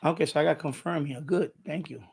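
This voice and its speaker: A man's voice: on the high side, fairly quick, vocal fry, darkish tone, breathy edge. An older man hosting a live stream with casual, rambling chat, often hesitating and repeating himself.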